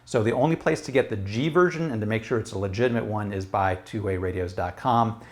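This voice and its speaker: A man's voice: on the low side, fast. A middle-aged American man giving a casual, explanatory product review to camera in a conversational way. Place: small room at home. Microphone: lapel mic.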